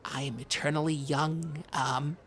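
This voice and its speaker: A man playing an anxious, eternally young oddball podcast co-host, speaking in a halting, dramatic way in improvised comedy chat. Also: fairly high pitch, steady tone, a bit bright. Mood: evasive. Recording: noisy room ambience.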